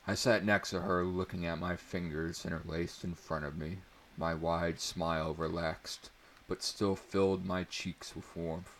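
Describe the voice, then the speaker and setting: A man's voice: sits low, little pitch movement, a little slow, fairly bright tone, a little hiss or hum, rough recording. A man reading a story aloud as narrator in a dramatic audiobook style.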